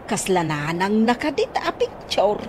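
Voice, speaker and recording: Actress doing a nosy scolding mom, older, up n down voice, noisy radio comedy. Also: female voice, mid-range voice, sing-song, normal speed, lightly acted. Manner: nagging, questioning. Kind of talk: comedy radio drama acting. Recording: radio drama with clear background noise.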